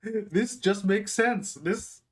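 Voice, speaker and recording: Higher-voiced man giving casual reaction commentary. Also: extremely slow. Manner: rambling, repeats phrases for emphasis. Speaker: reaction video host.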